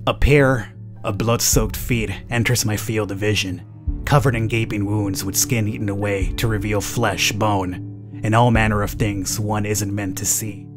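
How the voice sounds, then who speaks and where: A man's voice: lowish voice, steady tone, unhurried. Male gamer narrating over music. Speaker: gaming YouTuber, let's play host. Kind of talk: reading game narration aloud with casual commentary. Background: game music.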